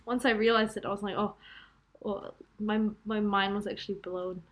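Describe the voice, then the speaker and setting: A young woman chatting casually as a podcast co-host, reacting quickly and filling her talk with fillers. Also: mid-range voice, fairly even intonation, a bit bright. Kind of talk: casual chat.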